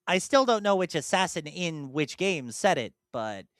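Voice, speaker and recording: A woman's voice: sits low, big pitch swings, normal speed, dry recording, clean studio sound. A woman co-hosting a casual podcast, thinking aloud, repeating clues and poking fun at herself as she guesses. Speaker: podcast co-host. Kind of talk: casual chat.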